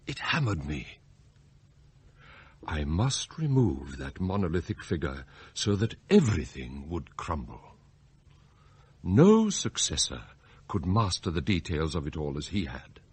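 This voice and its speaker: An actor playing a scheming, deferential English butler in a radio drama, formal, unctuous and theatrical. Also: male voice, on the low side, darkish tone, slightly rough, full character voice. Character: scheming, outwardly deferential butler, secretly anguished and devoted. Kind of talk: radio drama acting, confessional narration and dialogue.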